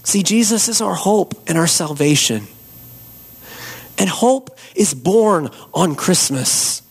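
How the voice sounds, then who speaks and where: A hoarse man preaching a Christmas Eve sermon and leading prayer as a pastor, slow and earnest. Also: high-pitched, expressive. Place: church.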